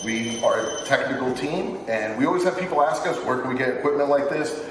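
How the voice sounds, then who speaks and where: A man's voice: mid-range voice, animated, fairly quick. Casual male co-host promo, far camera mic, reverberant noisy room. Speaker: co-host of a technical team. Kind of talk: sponsor announcement. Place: large room, indoors. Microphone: camera mic from a distance. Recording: echoey room from far off with noise.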